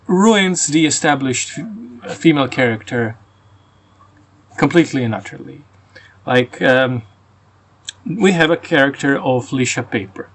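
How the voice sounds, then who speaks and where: A man's voice: on the high side, a bit bright. A man with a Croatian and Russian accent, reviewing a book casually and venting his frustrations, with fillers and restarts. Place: rural home.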